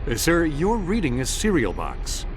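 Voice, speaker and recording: A polished TV news anchor. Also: male voice, on the high side, huge pitch swings, a little slow, noisy background. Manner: polished broadcast delivery. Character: smooth male TV news anchor. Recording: game cutscene with faint music.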